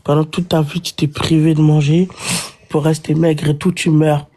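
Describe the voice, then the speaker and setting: A man chats on a live stream, his nose blocked by a cold and his breathing heavy. His voice is mellow and dark, and he talks bluntly, dwelling on each word. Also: on the high side, flat delivery, normal speed. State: stuffy with a head cold. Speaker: livestreamer. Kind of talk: casual live-stream talk.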